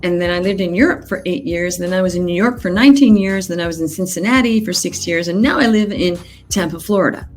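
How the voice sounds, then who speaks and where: Lower-pitched woman in her fifties, interview guest. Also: fast talker, hardly any pauses, soft background music. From United States and Australia. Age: 50s. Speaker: business coach, podcast guest. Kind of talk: interview storytelling.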